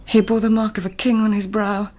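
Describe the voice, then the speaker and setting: A woman's voice: mid-range voice, little pitch movement, a little fast, darkish tone, close to an everyday voice. A woman playing a proud, melancholy Japanese court lady in a radio drama, speaking in a dry, world-weary way. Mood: brooding.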